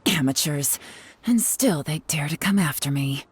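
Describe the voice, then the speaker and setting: A woman's voice: low-pitched, expressive, measured pace, very noisy. A woman playing a confident, battle-hardened fighter in a video game, composed and disdainful. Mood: scornful.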